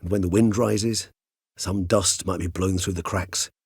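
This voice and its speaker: Game role: elderly vampire gentleman-scholar. Measured, bookish, gently weary; a touch husky, recorded close and dry. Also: low-pitched, fairly even intonation, no room sound, heavily acted. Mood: musing. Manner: measured, courtly, bookish phrasing with thoughtful hums. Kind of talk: video game character dialogue.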